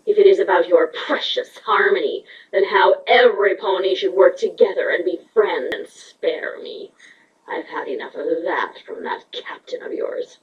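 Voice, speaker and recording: A woman's voice: lowish voice, normal speed, lightly acted. A woman voicing a proud, defeated changeling queen in a cartoon pony fan fiction drama, mocking, weary and bitter. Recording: echoey, dull, distant from the mic.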